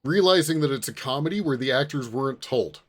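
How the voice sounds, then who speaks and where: A man chatting casually on a podcast panel, halting and repeating words as he goes. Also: mid-range voice.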